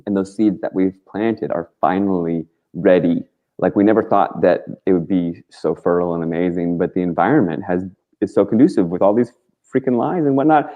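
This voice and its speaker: A man with a very low voice speaks in a casual, rambling storytelling style. His delivery is earnest and emphatic, peppered with slang. The audio is somewhat dull and lo-fi, like a call-in show. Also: brisk. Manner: informal and slangy, with frequent "like" and mild swearing. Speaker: talk show guest, activist.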